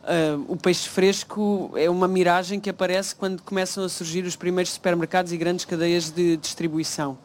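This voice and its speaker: A man with a European Portuguese accent, from the Baixo Alentejo, moderating a panel discussion at an event, talking quickly and filling pauses with "ah". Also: sits high, little pitch movement. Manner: quick, thinks aloud with many "ah" fillers.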